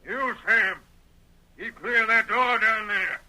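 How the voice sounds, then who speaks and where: A stubborn old American rancher in a vintage radio Western. The voice is very bright and forceful, pitched a little high for a man. The talk is curt, folksy and gruff, like a frontier patriarch used to giving orders. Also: slow, heavily acted. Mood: gruff, insistent. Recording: vintage radio drama recording.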